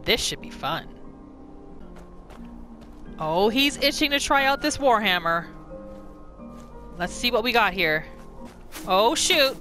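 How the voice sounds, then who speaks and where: A woman hosts a gaming roleplay with a bright, slightly high voice and very lively intonation. Her commentary is casual and expressive, with game music faintly behind. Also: slow, pauses often. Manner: expressive, exclamatory. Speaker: gamer, roleplay host.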